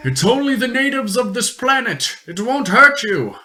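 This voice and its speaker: A man playing a calm, blunt alien explorer with formal, measured phrasing in an amateur sci-fi radio play. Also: very high-pitched, voice pushed up, full character voice. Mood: reassuring.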